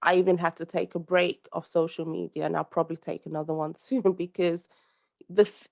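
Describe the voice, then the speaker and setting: A woman's voice: on the low side, little pitch movement, brisk, creaky, darkish tone. A young adult woman from the UK, a guest speaking earnestly over a call, rambling with many fillers and restarts. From United Kingdom. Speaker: podcast guest, social commentator. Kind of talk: conversational interview over a call.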